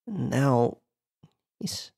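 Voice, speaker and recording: A woman voice actor improvising emotional lines for a demo reel in an expressive, theatrical manner. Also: a bass voice, drawn-out words, gravelly, breathy edge.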